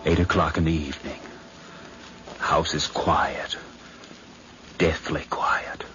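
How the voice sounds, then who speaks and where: An actor playing a nervous, obsessed nephew sliding into madness, halting and brooding, often dropping to a whisper, in 1950s radio drama style. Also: male voice, a bass voice, steady tone. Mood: hushed dread. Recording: noisy, muffled 1951 radio recording.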